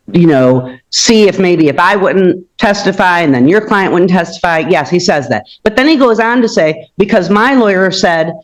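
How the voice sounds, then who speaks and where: A woman's voice: fairly low pitch, rough recording. A woman speaking as a defense attorney in court, explaining her argument in an insistent manner.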